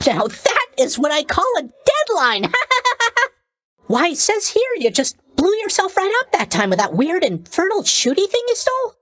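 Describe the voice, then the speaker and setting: Sleepy young game god, super high voice, bouncing all over, chirpy and tactless with fake sympathy. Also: male voice, huge pitch swings, moderate pace, fairly bright tone, full character voice. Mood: chirpy, flippant. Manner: chirpy, scatterbrained, mock-sympathetic delivery with stammers and trailing questions. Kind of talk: video game character voice acting.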